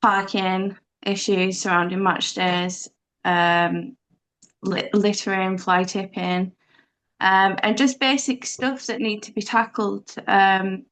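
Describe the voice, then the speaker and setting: Woman candidate, earnest, pauses a lot, flat delivery, mid pitch. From Leeds, England. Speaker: council candidate. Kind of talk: speaking at a public meeting.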